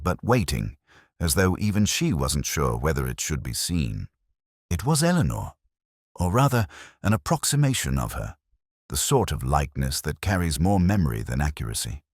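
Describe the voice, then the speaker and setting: A man voicing a wry, literary middle-aged male detective, narrating a mystery in a measured, reflective first person. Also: a bass voice, no room sound, studio quality, exaggerated voice. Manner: measured, reflective, quietly observant.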